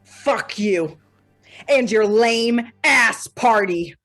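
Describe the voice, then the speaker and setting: A woman's voice: fairly high pitch, drawn-out words, a bit bright, faint music behind, heavily acted. A young woman playing a resentful, wounded college student in a dramatic reading, blunt and confrontational. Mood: angry.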